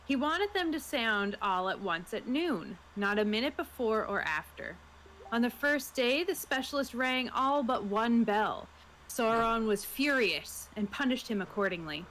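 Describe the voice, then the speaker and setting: A woman's voice: fairly high pitch, expressive, a little slow, fairly bright tone, a little hiss or hum, rough recording. A woman playing in a tabletop role-playing game, talking casually with the other players. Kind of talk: casual chat over an online game.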